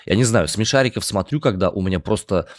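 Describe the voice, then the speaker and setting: A man's voice: lowish voice, quick pace, dry recording, clean studio sound. A man from Omsk co-hosting a morning news podcast, bantering in a playful, emotional, exclamatory way. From Omsk, Russia. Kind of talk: lively conversational news banter.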